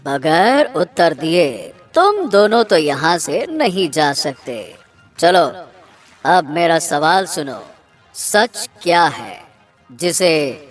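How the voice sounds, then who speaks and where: Cartoon villain: a commanding enchanted swan, very drawn-out, lowish delivery, acted by a woman. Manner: slow, commanding, taunting. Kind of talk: Hindi kids' cartoon villain acting.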